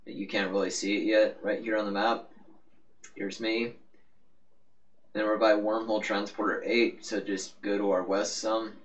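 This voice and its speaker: Low-voiced man, muffled gaming commentary. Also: flat delivery, moderate pace, pauses often. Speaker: gaming video host. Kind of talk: casual game walkthrough commentary. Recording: muffled, noisy, distant-sounding microphone.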